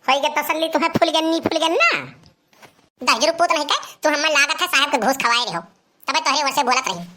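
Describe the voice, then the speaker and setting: A woman plays a scolding young village woman in a cartoon comedy. The voice is high and carries an Awadhi accent. The delivery is sharp-tongued and full of complaint. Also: a little fast. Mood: accusing.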